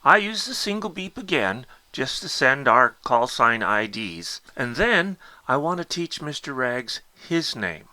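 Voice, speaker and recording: Bright-voiced man teaching, animated pitch. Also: measured pace, few pauses, no room sound. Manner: explanatory, addresses the learner directly. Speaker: instructor demonstrating a Morse code keyer. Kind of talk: step-by-step tutorial narration.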